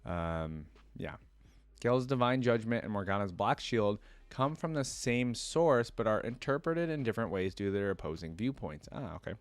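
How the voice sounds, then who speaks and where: A man's voice: on the low side, expressive, moderate pace, no echo. A man hosting a reaction video, reading trivia aloud in a casual way with short asides to himself.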